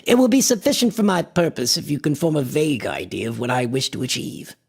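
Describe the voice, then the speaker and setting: An actor playing an obsessed, eccentric antiquarian visionary in a radio horror drama, with a husky, impassioned and pompous delivery. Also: male voice, a little high, wildly swooping pitch, brisk, full character voice. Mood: grandiose, mystical.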